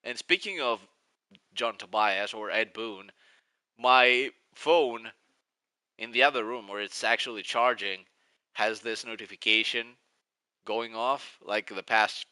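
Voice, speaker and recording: A man's voice: on the low side, slow pace, bright, forceful tone, smooth, dry recording. Man, Greek accent, 40s, rambling stream chat, tight dry sound. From Greece. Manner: rambling, nostalgic, restarts often. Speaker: live streamer. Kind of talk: casual live-stream chat.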